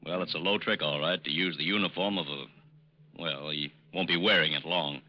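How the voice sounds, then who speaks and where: Deep fbi agent from old radio crime drama, dry disapproving, dull old recording. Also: male voice, fairly even intonation, a little fast, slight background noise. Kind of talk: mid-century American radio drama acting.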